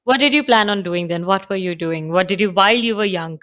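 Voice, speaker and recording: A woman's voice: medium pitch, brisk, fairly bright tone. A woman hosting a podcast interview, chatty, thinking aloud with many fillers. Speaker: podcast host. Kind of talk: conversational interview.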